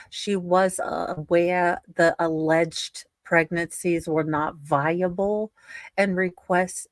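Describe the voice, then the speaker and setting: A woman co-hosting a livestream, reading a document aloud haltingly and adding casual, sarcastic asides. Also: lowish voice, no room sound. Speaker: livestream co-host.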